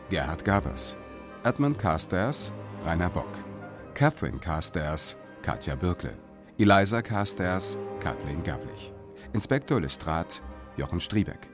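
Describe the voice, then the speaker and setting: A man announcing a radio play and its credits in a formal, deliberate reading. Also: low voice.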